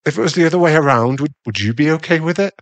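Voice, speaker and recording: A man's voice: a little high, no room sound, lightly acted. A man playing a jealous, doting werewolf husband in an intimate ASMR boyfriend roleplay, teasing and a little bratty. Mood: defensive, possessive. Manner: slow, intimate, teasing, with sighs and stammers.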